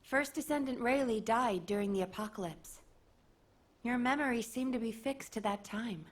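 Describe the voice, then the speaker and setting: Woman commander for a sci-fi game, acted: high, slightly husky, formal, measured authority. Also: natural voice. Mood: firm, formal. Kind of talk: video game voice acting.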